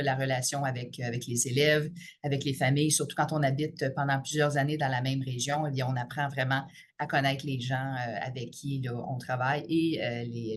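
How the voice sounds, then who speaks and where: A woman in her fifties with a Franco-Ontarian accent, a teachers' union president giving a podcast interview. She speaks in a measured way, filling her pauses with "euh" and "là". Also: very deep voice. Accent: Franco-Ontarian French. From Ottawa region, Ontario, Canada. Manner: measured, frequent "euh" and "là" fillers.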